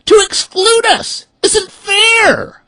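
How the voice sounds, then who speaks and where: A shrill flock of indignant hens. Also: male voice, drawn-out words, bright, forceful tone, no room sound, full character voice. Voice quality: shrill falsetto.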